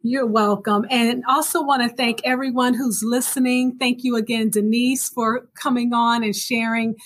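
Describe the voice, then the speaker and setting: A woman's voice: fairly high pitch, steady tone, normal speed. Affirming female podcast host. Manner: warm, affirming, repeats phrases. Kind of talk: casual interview chat.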